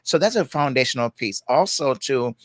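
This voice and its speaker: A man's voice: medium pitch, animated, moderate pace, dry recording. A man in his sixties with a Jamaican accent, a guest speaking reflectively in casual conversation and often adding "you know" and "right".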